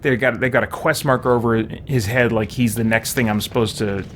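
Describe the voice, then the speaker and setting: A man's voice: medium pitch, steady tone, a little fast, fairly bright tone, a little hiss or hum. A man streaming a video game, commenting casually and thinking his way through it aloud.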